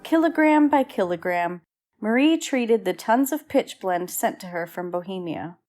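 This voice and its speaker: Female narrator, old-time radio play, mid-range pitch, scripted dramatic storytelling. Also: animated, unhurried, a little mellow, soft background music.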